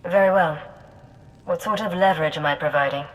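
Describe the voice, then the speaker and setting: An actress playing a tough, suspicious former secret agent, cool and direct, in a science-fiction video game. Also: female voice, on the low side, a little fast, lightly acted, poor audio. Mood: brisk, determined. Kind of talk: scripted video game acting.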